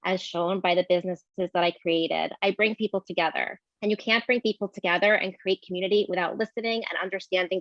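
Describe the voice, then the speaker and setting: A woman in her forties gives a steady, earnest prepared opening statement as a debate candidate. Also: medium pitch, dry recording, highs cut off.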